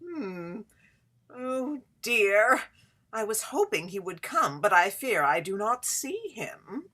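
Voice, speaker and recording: A woman's voice: mid-range voice, sing-song, takes it slowly, bright, forceful tone, exaggerated voice. A woman playing a flirtatious Gilded Age society hostess in a period play reading, speaking in an affected, coy and theatrical way. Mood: gracious, showy. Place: indoors, remote online reading.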